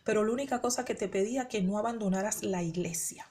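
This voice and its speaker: A woman's voice: mid-range voice, fast talker, a little mellow, close to an everyday voice. A woman voicing a shocked, disapproving mother who pleads in disbelief.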